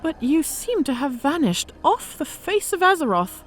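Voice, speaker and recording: A woman's voice: high-pitched, big pitch swings, normal speed. A woman playing a smug female orc centurion in an audio drama, speaking with mock-sweet politeness and cool menace. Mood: smooth flattery.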